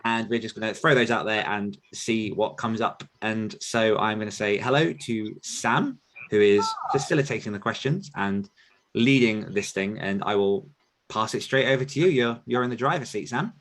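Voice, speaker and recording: A man with a lowish voice hosts an author podcast in an easy, casual way. He rambles, circles back and restarts his sentences often. His tone is conversational and unhurried. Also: a bit bright, a little hiss or hum, low-quality recording. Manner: loose, rambling with frequent restarts and fillers. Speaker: podcast host and author coach.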